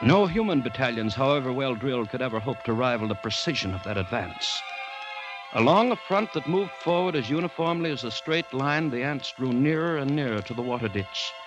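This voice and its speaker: An actor playing a cautious, alarmed government commissioner, narrating a jungle ordeal in formal, suspenseful old-time radio drama style. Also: male voice, mid-range voice, animated, brisk, muffled recording, natural voice. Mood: grave dread. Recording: vintage radio recording with background music.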